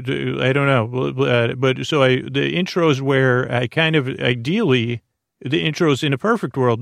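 A man hosting a bedtime podcast, talking softly in a lulling, meandering way, full of fillers and restarts. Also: medium pitch, vocal fry, dry recording, clean studio sound. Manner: soft, lulling delivery. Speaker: podcast host. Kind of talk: rambling bedtime podcast talk.

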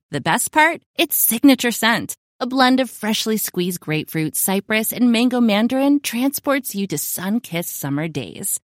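A woman's voice: medium pitch, dry recording, clean studio sound. A woman delivering a polished, scripted voice-over for a skincare advertisement.

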